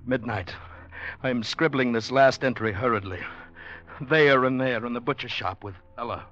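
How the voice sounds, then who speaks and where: An actor playing a desperate, sensitive man in a 1940s radio drama, speaking urgently and theatrically, with halting turns. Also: medium pitch, natural voice. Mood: grim, resolute. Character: desperate man hiding in department store, sensitive writer. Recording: dull vintage radio recording, faint music.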